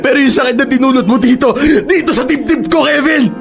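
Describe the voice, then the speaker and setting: A very high, anguished voice of a betrayed young man, breaking into sobs and screams. This is radio drama acting with faint music underneath. The hurt comes through in every line. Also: fairly quick, vocal fry. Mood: bitter, grieving. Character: Nico, heartbroken young man betrayed by partner.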